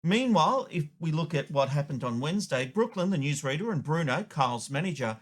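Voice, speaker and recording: Male commentator, slightly high-pitched voice, emphatic and opinionated, presenting an editorial. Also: very animated, brisk. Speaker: show host, media commentator.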